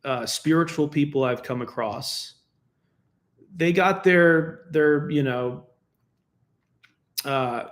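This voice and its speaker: A man's voice: on the high side, slow pace, pauses often. A man from the United States giving a defensive, argumentative solo commentary, talking casually and laughing between points. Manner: conversational with fillers and restarts. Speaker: political commentator.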